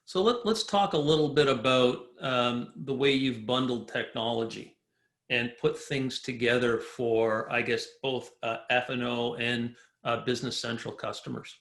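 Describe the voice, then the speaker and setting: A man's voice: mid-range voice, fairly even intonation, unhurried, fairly bright tone, reverberant. A man hosting a business interview, asking questions in an upbeat, conversational way. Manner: upbeat, conversational, uses "you know" often. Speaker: podcast host.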